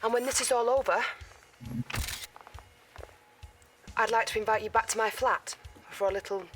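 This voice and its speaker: A woman's voice: on the high side, fairly even intonation, fast talker, a bit bright, recorded from a distance, soft background music, lightly acted. A woman playing an eager military intelligence officer, giving a businesslike, encouraging mission briefing in a video game. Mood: confident.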